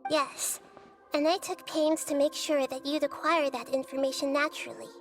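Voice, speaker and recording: An actress voicing a gentle, childlike, wise little female deity in a video game, speaking in a measured, thoughtful way. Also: sits high, steady tone. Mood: frank, composed. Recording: background music under voice.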